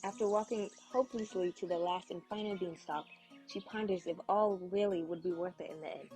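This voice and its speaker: A woman's voice: fairly low pitch, fairly even intonation, fairly quick, warm tone, low-quality recording. A woman reading a scripted fairy tale as a storyteller. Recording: faint music bed under voice.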